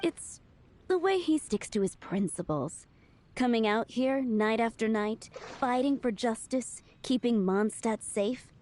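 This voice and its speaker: A woman's voice: fairly high pitch, animated, a little slow, a little mellow, a little hiss or hum. An actress playing a playful young woman who runs a tavern, in a video game. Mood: wry. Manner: playful, joking and teasing.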